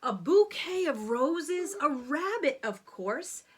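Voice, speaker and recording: High, very animated woman storyteller. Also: slow, a little hiss or hum. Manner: theatrical, rhythmic rhyme reading. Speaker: storybook reader. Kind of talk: expressive children's bedtime storytelling.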